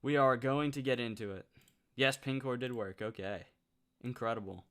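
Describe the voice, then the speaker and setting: A nineteen-year-old man chatting casually on a livestream, reading out viewer comments, excitable and swearing freely. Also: mid-range voice, normal speed, smooth.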